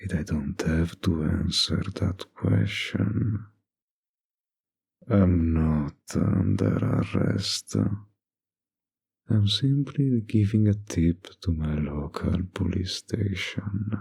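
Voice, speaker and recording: A man playing a smug, dominant mafia boss in an intimate ASMR roleplay, with a gravelly, husky voice and a suggestive, deliberate drawl. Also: low voice, very animated, darkish tone, full character voice. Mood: smug, businesslike. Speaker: roleplay voice actor.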